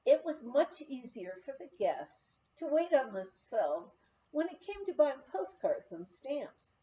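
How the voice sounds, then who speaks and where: A woman's voice: sits high, a little slow, lo-fi sound. A woman reading a humorous memoir aloud as an audiobook, telling it expressively like a storyteller. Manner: expressive storytelling, acting out quoted speech. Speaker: audiobook reader.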